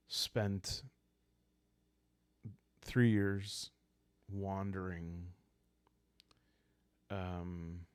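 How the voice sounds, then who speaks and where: A man speaking softly and calmly, improvising a story for a solo roleplaying game and thinking aloud hesitantly. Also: sits low, clean tone, no echo. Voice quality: soft, calm. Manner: hesitant, thinking aloud with long pauses and sighs. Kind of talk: calm unscripted storytelling for sleep, journaling game playthrough.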